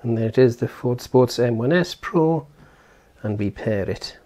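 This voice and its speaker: Man with a mellow voice, thinking aloud. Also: mid-range voice, expressive, unhurried. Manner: thinking aloud, informal, step-by-step explaining. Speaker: tech reviewer and presenter. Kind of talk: casual hands-on product unboxing and setup commentary.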